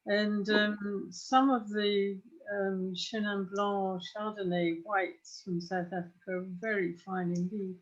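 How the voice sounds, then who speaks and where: An older woman talks casually but knowledgeably. Her voice is medium in pitch, with measured, hesitant phrasing. The video-call sound is a little muffled. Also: little pitch movement. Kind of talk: casual, knowledgeable chat on a group wine call. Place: home study, on a video call.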